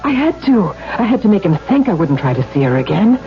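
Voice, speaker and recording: A woman's voice: mid-range voice, big pitch swings, a little fast, soft background music. An actress playing a defiant, bitter tattooed carnival woman in a theatrical, mocking way in a 1970s radio drama. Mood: triumphant, determined. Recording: dull noisy 1970s radio recording with hum.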